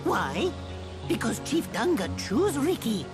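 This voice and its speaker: A small, furry creature hero from a video game, childlike and full of boast. The voice is very high and swoops about eagerly, with broken, exclaiming phrases. Music plays clearly behind. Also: female voice, sing-song, slow pace. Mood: confident. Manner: third-person broken grammar, exclaiming. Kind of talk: video game cutscene acting.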